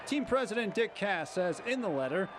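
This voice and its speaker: A man's voice: high-pitched, sing-song, moderate pace. A man delivering a scripted sports news report with an emphatic broadcast delivery. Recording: loud background noise on location.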